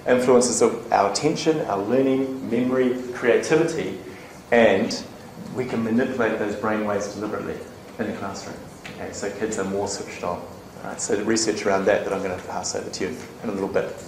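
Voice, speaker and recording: A man presents at a live conference, explaining ideas to an audience of educators in a relaxed, animated way. He keeps tossing in quick "right?" and "okay?" checks to keep the room with him. The sound is roomy and echoey, with a faint hum of ambience behind him. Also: fairly low pitch. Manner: animated, chatty, asks the audience questions, many "okay?" and "right?" tags.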